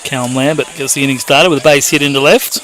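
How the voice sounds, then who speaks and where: A man's voice: mid-range voice, fairly even intonation, fast talker, fairly bright tone, soft background music. A man with an Australian accent commentating live on baseball and chatting casually between plays, speaking outdoors at a ballpark. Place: outdoors at a ballpark under shade cloth. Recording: noticeable outdoor background noise.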